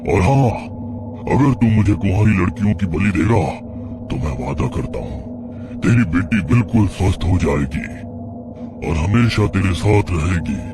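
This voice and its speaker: Menacing jinn played by a man: low pitch, deliberate, contemptuous delivery, horror audio serial. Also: animated, music in the background. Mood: cold threat. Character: menacing, commanding male jinn demanding human sacrifices. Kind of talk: Hindi horror audio drama acting.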